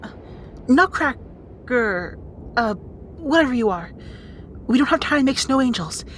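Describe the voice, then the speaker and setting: A woman's voice: sits high, lively intonation, a little slow, a bit bright, slight background noise. A woman playing a bossy, guarded young rat princess in an audio roleplay drama, with exasperated, wry asides. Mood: urgent.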